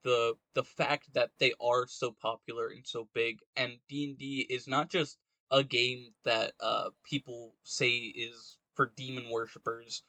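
A man with a mid-pitched voice talks casually as a podcast co-host, recorded close and dry. He gives opinions in a rambling, halting flow, peppered with "like". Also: little pitch movement, sharp tone, dry recording, rough recording. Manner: rambling, halting delivery with frequent "like".

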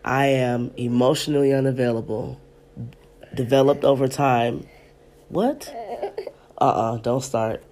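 A woman's voice: rumbling low, a little slow, long pauses, warm tone. A woman in her thirties hosting a podcast from home, chatting loosely with listeners and reading aloud, her voice stuffy and congested. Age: 30s. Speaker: podcast host. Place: at home in an apartment.

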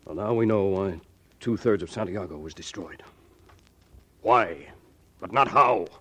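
An American actor playing a grave army general in a 1950s radio drama. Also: male voice, low voice, a little slow, pushed and bright, breathy edge, exaggerated voice. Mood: grim. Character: grave army general watching an alien invasion.

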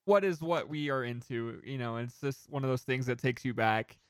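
A man with a medium-pitched voice talks as a podcast co-host. His delivery is conversational and joking, with plenty of casual swearing. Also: moderate pace, clean tone. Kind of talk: casual chat about movies.

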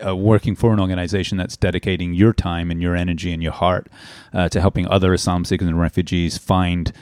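A middle-aged man hosting a podcast, speaking earnestly and filling pauses with "um" and "uh". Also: low voice, little pitch movement, darkish tone, dry recording, studio quality. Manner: earnest, heavy with "um" and "uh". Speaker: podcast host. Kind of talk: podcast intro and interview.

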